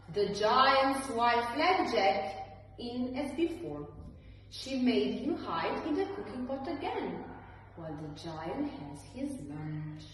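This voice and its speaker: A woman reads a children's tale with a very lively, fairly high voice and an unhurried pace. She leans on key words dramatically, as a teacher does for small children. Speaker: early years teacher reading a story. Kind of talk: storytelling for young children, expressive narration. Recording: roomy, echoey, distant mic, faint noise.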